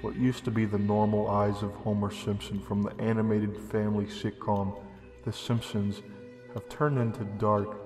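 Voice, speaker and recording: A young man giving a deadpan, deliberate reading of a comedic horror story as narrator. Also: on the low side. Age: young adult. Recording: long reverb, music behind. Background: music.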